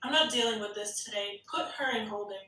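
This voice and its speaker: Woman acting no-nonsense female police chief, forties, curt, bright forceful voice, echoey room. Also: medium pitch, quick pace, close to an everyday voice. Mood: firm, fed up. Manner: firm, curt. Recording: echoey, distant call audio.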